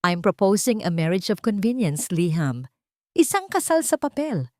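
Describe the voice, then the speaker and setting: A woman's voice: mid-range voice, very animated, normal speed, dry recording, clean studio sound, lightly acted. A woman with a Filipino accent voicing a cold, brooding rich man in a romance story, blunt and businesslike. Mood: grave, direct.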